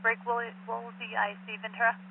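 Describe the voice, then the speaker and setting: A woman's voice: on the high side, completely flat delivery, a little fast, gravelly. A woman working as a fire dispatcher, relaying messages over two-way radio in a procedural, matter-of-fact way. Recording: tinny phone-like dispatch radio, faint noise.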